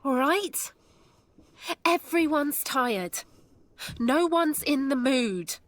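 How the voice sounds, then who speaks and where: A woman's voice: sits high, animated, extremely slow, fairly bright tone, no echo, full character voice. An actress playing a weary, evasive, short-tempered mother surviving at sea in an audio drama. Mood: exasperated.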